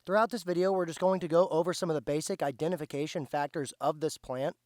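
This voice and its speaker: Slightly hoarse Midwestern man teaching outdoors. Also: a little high, steady tone, fast talker, darkish tone, no room sound, clean studio sound. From central Indiana, USA. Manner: patient, step-by-step explaining. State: slightly hoarse, recovering from lost voice. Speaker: host, foraging teacher. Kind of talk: outdoor plant identification tutorial. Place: outdoors by a driveway.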